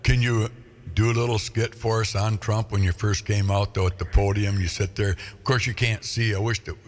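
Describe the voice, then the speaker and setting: A man's voice: fairly low pitch, flat delivery, normal speed. A man hosting a radio chat, easygoing, laughing a lot and asking rambling questions. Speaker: radio host.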